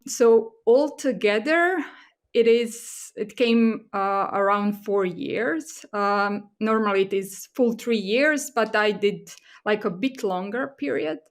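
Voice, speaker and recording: Female podcast guest, academic expert with a Croatian accent, medium pitch, explains in a halting conversational way. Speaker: guest expert, assistant professor.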